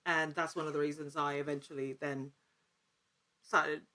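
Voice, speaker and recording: A woman's voice: sits low, little pitch movement, moderate pace, pushed and bright, a little hiss or hum. A woman speaking as a guest in a casual interview, in a wordy, rambling way that often interrupts itself. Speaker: podcast guest, actor and producer.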